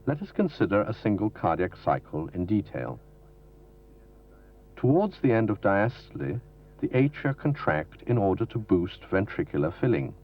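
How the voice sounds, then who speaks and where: A man with a very low voice narrates an instructional medical film in a formal, didactic way. His measured delivery moves through a lively range of pitch. The sound is dull and lo-fi, like a 1970s film soundtrack copied from videotape. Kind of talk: scripted educational narration.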